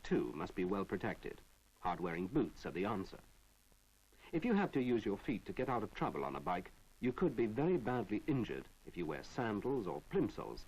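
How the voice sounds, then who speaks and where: British male narrator, low and slightly husky, lively intonation, measured instructional read for a 1970s training film. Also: poor audio. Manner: measured, didactic delivery.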